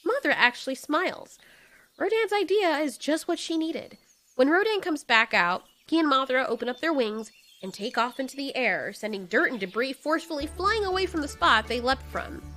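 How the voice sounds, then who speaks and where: A woman's voice: a little high, pushed and bright. A woman narrating a scripted story as its storyteller, in the present tense.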